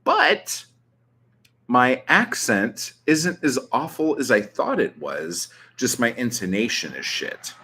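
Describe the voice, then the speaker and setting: A man's voice: mid-range voice, sing-song, slow, bright, forceful tone, breathy edge. A young man from Southern California with that accent, chatting casually in a vlog, rambling with plenty of ums and likes and the odd swear. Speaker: channel host answering viewer questions.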